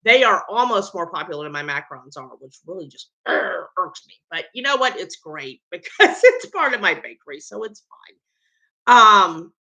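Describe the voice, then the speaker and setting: A woman's voice: fairly low pitch, big pitch swings, normal speed, sharp tone, poor audio. Chatty Southern American woman. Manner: rambling, chatty, lots of ums. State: tired. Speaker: home baker and vlogger. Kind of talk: casual chat to camera.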